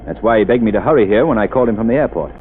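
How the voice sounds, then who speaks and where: A man playing a calm, wealthy amateur sleuth in a 1930s radio drama, measured and commanding. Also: on the low side, natural voice. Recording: tinny old radio broadcast with faint hiss.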